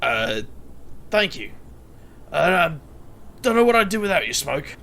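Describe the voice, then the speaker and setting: An actor playing a scatterbrained, playful, good-natured boss in a fantasy audio drama, stammering and jokey, with a gravelly edge. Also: mid-range voice, sing-song, measured pace, a bit bright, full character voice. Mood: casual, joking. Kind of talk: audio drama character acting. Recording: faint noise.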